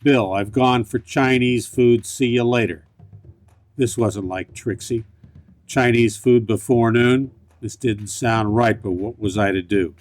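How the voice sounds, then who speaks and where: A man playing a deadpan, wisecracking noir private eye in a campy radio play. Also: mid-range voice, unhurried, close to an everyday voice. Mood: pondering. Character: wry, hardboiled film noir private detective.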